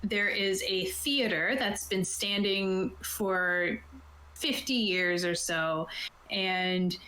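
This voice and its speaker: A woman's voice: mid-range voice, drawn-out words, pushed and bright. A woman game master casually explaining a tabletop game setup, chatty and informal with jokey asides. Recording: roomy, echoey sound.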